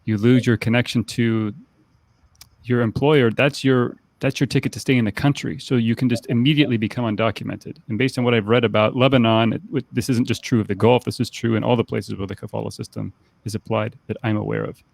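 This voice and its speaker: A man's voice: mid-range voice, fairly even intonation, a little fast, creaky, a little mellow, no room sound. A man co-hosting a podcast panel, moderating with careful, wordy questions. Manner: careful, wordy, managing time.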